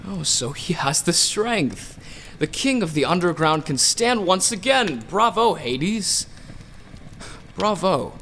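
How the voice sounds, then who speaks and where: Male actor as vengeful god king, higher-pitched voice, taunting, savoring, theatrical, radio drama, noisy recording. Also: sing-song, takes it slowly, fairly bright tone, exaggerated voice. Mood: gloating, taunting. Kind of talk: audio drama acting.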